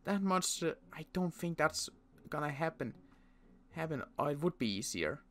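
A young man playing a protective survivor in an in-character roleplay, talking in a halting way with frequent restarts. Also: on the high side, lively intonation, a bit bright. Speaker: roleplay series player.

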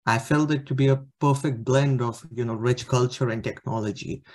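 Brief young male student from India, mid-pitched, halting presenter on a video call. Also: fairly even intonation. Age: young adult. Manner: hesitant, many fillers. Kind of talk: slide presentation talk. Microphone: webcam on video call.

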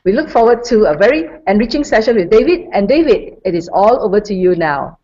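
Lively-voiced woman moderating a webinar. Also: mid-range voice, fairly quick. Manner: warm, formal, reads credentials. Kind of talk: formal speaker introduction. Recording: dull lo-fi webinar audio.

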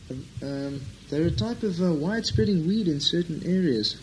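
A man's voice: fairly high pitch, animated, moderate pace, a little hiss or hum, natural voice, rough recording. A man playing a furtive, flustered conspirator in a comic radio melodrama, hesitant and stagey. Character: furtive, flustered male conspirator in a mystery parody.

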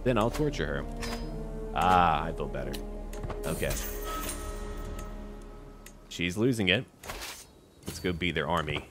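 A man with a low, deep voice commentates his strategy game as he plays. He thinks aloud in short, clipped remarks, speaking as the ruler he controls. Music plays behind his voice. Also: lively intonation, takes it slowly, pauses often. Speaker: gaming video host.

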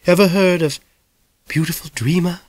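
A man's voice: high voice, moderate pace, dry recording, pitched above the performer's own voice. A man voicing a prim older Southern lady.

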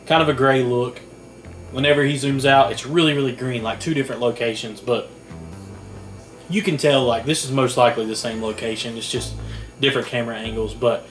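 A young man co-hosting a casual video review, earnest and fair-minded, rambling with restarts. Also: mid-range voice. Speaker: co-host. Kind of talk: casual video analysis chat. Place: small indoor room at a table.

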